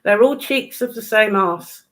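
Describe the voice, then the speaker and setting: A woman's voice: medium pitch, a little slow, fairly bright tone. A British woman in casual political chat, speaking in a blunt, opinionated way with some swearing. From United Kingdom.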